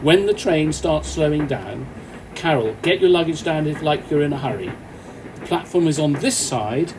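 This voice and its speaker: A man playing a calm off-duty policeman in a radio play, speaking in a measured, reassuring, authoritative way. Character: Mike, calm, commanding off-duty policeman on a train. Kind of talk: amateur radio play acting. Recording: noisy, train carriage rumble behind.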